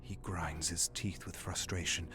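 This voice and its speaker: A man with a low, deep voice delivers dramatic scripted narration. He is grave and deliberate, like a brooding storyteller. Soft music sits underneath his voice. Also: steady tone. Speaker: narrator of game lore readings.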